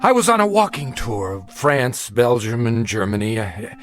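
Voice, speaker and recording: Radio drama lead: male actor as haunted older man, mid-pitched, very expressive, precise and grave, music underneath. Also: close to an everyday voice. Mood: reflective, intense. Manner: formal, precise, deliberate. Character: haunted older gentleman recounting his youth, grave, insistent.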